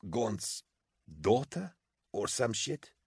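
A man's voice: medium pitch, very animated, very slow, vocal fry, breathy edge, no room sound, studio quality, pitched above the performer's own voice, heavily acted. A man voicing an exhausted, foul-mouthed female military officer for an audiobook, blunt and pugnacious, with a sardonic edge. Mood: weary, dry. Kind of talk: audiobook character acting.

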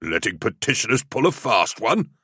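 A man's voice: mid-range voice, lively intonation, normal speed, fairly bright tone, dry recording, clean studio sound, pitched above the performer's own voice. A man voicing a rowdy, indignant warrior of a squad, blustering and exclaiming, in an audiobook story.

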